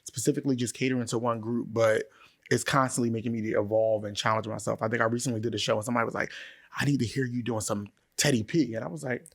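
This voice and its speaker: A man's voice: mid-range voice, steady tone, fast, creaky. Middle-aged man in casual interview chat. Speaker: interview guest, singer-songwriter.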